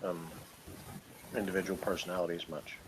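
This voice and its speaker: A man's voice: low-pitched, flat delivery, fairly quick, low-quality recording. A man giving his views in casual chat, speaking tentatively and hesitantly. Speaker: co-commentator. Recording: faint background noise.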